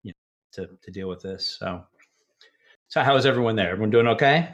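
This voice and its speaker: A man's voice: on the low side, brisk, lo-fi sound. A man hosting a podcast, telling stories and chatting casually with lots of fillers. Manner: relaxed storytelling, chatty with many fillers. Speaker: podcast host.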